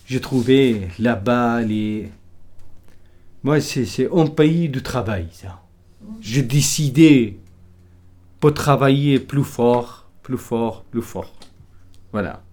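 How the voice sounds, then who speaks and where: A man in a documentary interview, speaking simple, broken French. He leans on short repeated phrases as he goes, at a middle pitch. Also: takes it slowly, pauses often, a little mellow.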